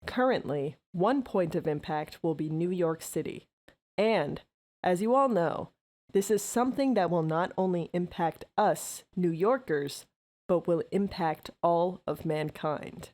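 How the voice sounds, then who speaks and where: A woman plays a female mayor in an audio drama, addressing citizens in an emergency. Her voice sits fairly low, and her delivery is formal, solemn and official. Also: unhurried, a little mellow, lightly acted. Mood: grave.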